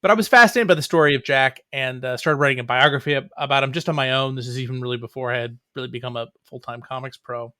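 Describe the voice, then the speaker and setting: Middle-aged guy doing a casual interview, bright punchy voice, dry close sound, kinda wry. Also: medium pitch, fast talker, few pauses, no echo. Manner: wry, joking. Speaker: comics writer and playwright, interview guest.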